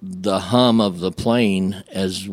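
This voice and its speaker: An American man from Illinois speaking as a radio guest, telling personal stories in a casual, halting, folksy way. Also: deep voice, steady tone. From Illinois, United States.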